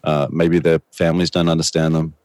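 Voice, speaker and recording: A man's voice: very deep voice, little pitch movement, a little fast, darkish tone, no echo. A middle-aged man, a guest, answering in a thoughtful, rambling way with a soothing voice and dramatic bursts. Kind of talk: reflective conversational answers.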